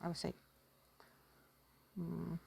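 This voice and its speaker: A woman's voice: lowish voice, completely flat delivery, takes it slowly, creaky, a little mellow, breathy edge, no echo. A woman giving a casual lesson through storytelling, chatty and self-deprecating, often thinking aloud as a teacher. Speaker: Korean language teacher.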